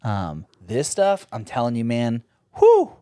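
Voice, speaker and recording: A man with a Midwestern American accent, co-hosting a podcast with profane, jokey, animated banter. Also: mid-range voice, slow pace, clean tone, no echo, studio quality. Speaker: podcast co-host. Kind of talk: casual banter. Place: podcast studio.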